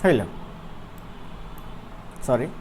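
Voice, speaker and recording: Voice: extremely slow, darkish tone, a little hiss or hum. An animation course instructor with a regional Bangladeshi Bengali accent, teaching informally and often checking in with questions. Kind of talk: informal live software tutorial.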